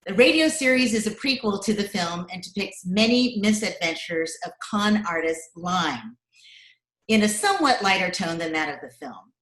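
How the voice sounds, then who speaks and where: A woman's voice: mid-range voice, animated, normal speed, fairly bright tone. A woman announcer reading a scripted introduction in the manner of an old-time radio presentation. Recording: roomy video-call sound.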